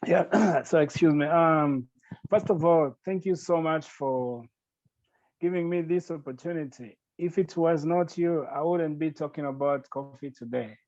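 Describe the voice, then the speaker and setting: A man from Rwanda, speaking earnestly and gratefully as a podcast guest, with halting restarts. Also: a little high, a little mellow, no room sound, lo-fi sound. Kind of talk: podcast interview.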